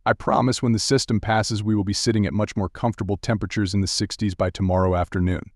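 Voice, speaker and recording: Male TV meteorologist, played by an actor, lowish pitch, dry sound. Urgent but steady live storm coverage, direct and instructive. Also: fast, no echo, natural voice. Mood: serious, measured. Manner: direct, instructive, addressing viewers. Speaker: TV weather broadcaster. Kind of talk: live severe weather broadcast commentary.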